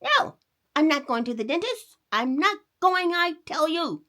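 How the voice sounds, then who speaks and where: A woman's voice: sits high, sing-song, a little slow, fairly bright tone, pitched above the performer's own voice, full character voice. A woman voicing a stubborn, frightened little boy in a storybook, whiny and emphatically defiant. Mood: stubborn, upset.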